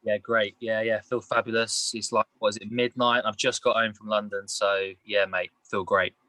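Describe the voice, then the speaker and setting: A man's voice: lowish voice, little pitch movement, moderate pace, sharp tone, no room sound. A man giving casual sports analysis on a podcast in a rambling, colloquial, matey way. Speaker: podcast panellist.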